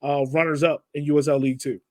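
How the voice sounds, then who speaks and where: A man's voice: fairly high pitch, level tone, fast, a little mellow, dry recording. A man hosting a casual sports podcast, talking in an informal, slangy way. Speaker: podcast host.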